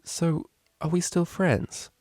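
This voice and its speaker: A man's voice: fairly high pitch, steady tone, slow, a little mellow, no echo, studio quality, voice pushed up. A man voicing a prim, naive young female cellist pony, studious and earnest, with precise, bookish speech. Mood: tentative, apologetic.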